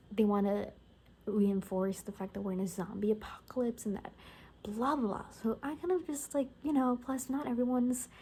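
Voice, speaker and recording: Soft-spoken, mellow woman in casual ASMR. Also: mid-range voice, slight background noise. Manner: casual, rambling, wry, often dropping into whispers. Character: gossipy female bunker survivor in a zombie apocalypse. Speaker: ASMR roleplay host. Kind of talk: soft-spoken ASMR, improvised gossipy storytelling.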